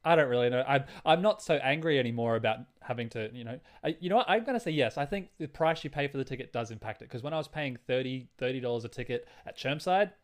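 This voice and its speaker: A man's voice: mid-range voice, animated, fast talker, hardly any pauses. A man chatting casually as a podcast co-host, in a hesitant, rambling way. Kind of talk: casual chat.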